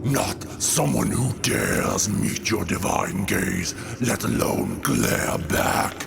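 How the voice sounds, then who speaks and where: A man voicing a menacing, contemptuous butcher of gods in a comic book drama, with a whispery rasp and a taunting delivery. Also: fairly low pitch, takes it slowly, noisy background, pitched below the performer's own voice, heavily acted. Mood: contemptuous menace. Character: sinister male god-killing butcher, menacing and contemptuous. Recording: dramatic music behind the voice.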